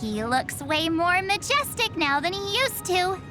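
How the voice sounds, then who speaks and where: A woman's voice: extremely high pitch, very animated, measured pace, full character voice. A woman voicing a theatrical, girlish young woman, a playful goddess figure in a video game. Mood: cheerful, casual. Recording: loud music under the voice.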